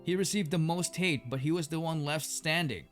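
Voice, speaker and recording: A man narrating a scripted documentary story in a measured, storytelling way. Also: fairly high pitch. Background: music.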